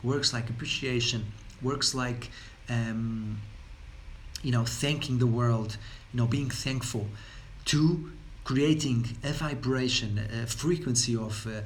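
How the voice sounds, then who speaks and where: A man's voice: medium pitch, takes it slowly, rough recording. Portuguese-accented male speaker, non-native English, loose wandering delivery full of fillers and restarts, faint noise behind. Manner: rambling, many fillers and repeated words. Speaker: wellness speaker. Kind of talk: unscripted talk.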